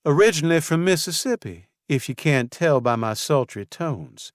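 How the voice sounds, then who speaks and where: A man's voice: a little high, lively intonation, normal speed, no echo, studio quality, pitched above the performer's own voice, close to an everyday voice. A man voicing a cheerful young woman florist with a Southern US drawl, folksy and chatty. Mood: friendly.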